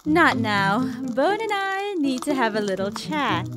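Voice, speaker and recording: A high-pitched, unhurried old grandmother, acted by a woman with a swooping, lively delivery. She is bossy, folksy and takes no nonsense, a cartoon matriarch with music playing behind her. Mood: brisk, firm. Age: older. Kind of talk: fan comic dub voice acting.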